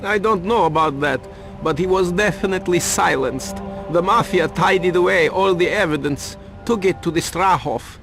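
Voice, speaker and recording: A man's voice: high voice, normal speed, over music. An actor playing a cagey, self-important, money-minded reporter turned informant in a video game, evasive as he talks. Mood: brusque, guarded. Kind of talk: video game cutscene acting.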